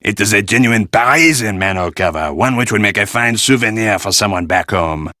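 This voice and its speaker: Deep-voiced theatrical French shopkeeper. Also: male voice, animated, brisk, sharp tone, no echo, studio quality. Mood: salesman-like, coaxing. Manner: theatrical, salesman-like, courtly. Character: theatrical French male curio dealer, haggling and fussy. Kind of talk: video game character acting.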